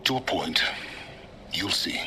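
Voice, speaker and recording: Aloof, calculating game mentor. Deep, articulate, deliberate delivery, faint noise behind. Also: male voice, lively intonation. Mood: cool, wry. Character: aloof, calculating middle-aged man, a cool cynical mentor. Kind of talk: video game dialogue acting.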